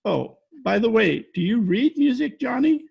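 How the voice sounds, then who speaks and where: An actor playing a folksy, teasing old-timer in an old-time radio comedy, with a creaky, husky drawl. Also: male voice, very high voice, lively intonation, normal speed, darkish tone, full character voice. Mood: amused, teasing. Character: folksy teasing old-timer who tells corny jokes. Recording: home recording over video call.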